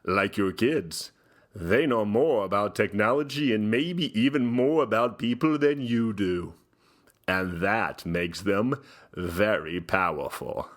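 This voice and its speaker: Slow, pompous theatrical gentleman host. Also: lowish voice. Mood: solemn, dramatic. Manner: pompous, drawn-out delivery with dramatic pauses. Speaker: host giving dramatic book readings. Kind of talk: mock-solemn dramatic reading.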